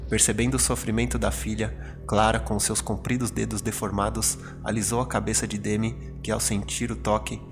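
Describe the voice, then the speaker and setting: Horror podcast narrator: Brazilian man, low register, dramatic scripted storytelling over prominent music. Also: little pitch movement, normal speed, vocal fry, hardly any pauses.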